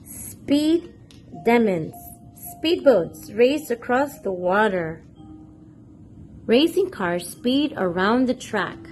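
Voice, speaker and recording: A woman reads a picture book aloud for small children at a slow, measured pace, with a pitch that rises and falls in lively swings. She speaks expressively and turns to her young listeners with questions. Music plays behind her voice. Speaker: children's read-aloud storyteller and channel host.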